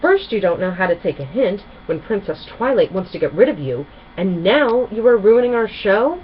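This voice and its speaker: A woman voicing a brash, scheming female griffin who shouts like a carnival barker in a storybook. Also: medium pitch, big pitch swings, normal speed, lo-fi sound, slight background noise, close to an everyday voice. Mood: screeching anger. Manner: loud carnival barker delivery.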